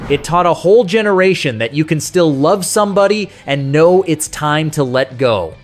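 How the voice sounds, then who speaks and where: A man's voice: fairly high pitch, animated, moderate pace. A man narrating a scripted nostalgic countdown in a folksy, conversational, sentimental storytelling way. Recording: music playing behind the voice. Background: music.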